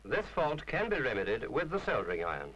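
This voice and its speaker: Man narrating instructional film, lively intonation. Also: mid-range voice, brisk, rough recording. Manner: formal, precise, didactic.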